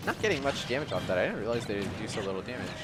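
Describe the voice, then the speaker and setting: A man's voice: lowish voice, sing-song, very fast, music in the background. A man giving casual, analytical live commentary on a video game match, conversational and reacting to the plays.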